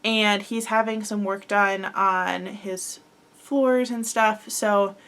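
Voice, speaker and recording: A woman's voice: medium pitch, fairly even intonation, slow, a bit bright. A young woman hosting a crochet podcast, talking casually and rambling with lots of fillers, her voice a little congested from a head cold. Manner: chatty, rambling, filler-heavy with frequent likes and ums. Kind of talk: casual chat.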